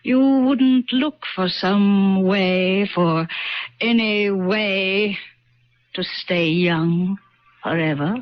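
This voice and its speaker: An actress playing an ancient, sly witch who poses as a woman, in vintage radio drama style, with slow and insinuating delivery. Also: medium pitch, soft background music. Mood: brooding, insinuating. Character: ancient female witch posing as old woman and young temptress. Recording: muffled noisy vintage radio recording.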